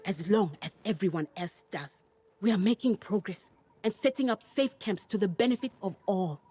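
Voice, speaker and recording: A husky-voiced female camp leader from a fantasy game, played by an actress. She is guarded and pragmatic, dry and measured in delivery, with music underneath. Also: mid-range voice, dark tone, natural voice. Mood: guarded, businesslike. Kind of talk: video game dialogue acting.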